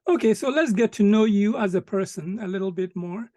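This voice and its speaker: A man hosting an interview, asking short questions calmly and acknowledging answers with frequent murmurs. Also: high-pitched, fairly quick, no room sound, clean studio sound. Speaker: interview host.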